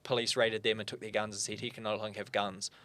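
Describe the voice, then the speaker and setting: A young man, speaking as an interview guest in an earnest, fluent way with quick asides. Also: lowish voice, flat delivery, fairly bright tone. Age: young adult. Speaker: guest, firearm owners' spokesperson. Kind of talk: casual interview chat.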